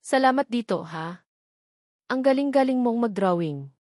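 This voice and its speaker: A woman voicing a tender, nervous working man in an audio love story, halting and with forced cheer. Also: a little high, expressive, a little mellow, no echo, clean studio sound. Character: adult male market porter, nervous, tender, covering up.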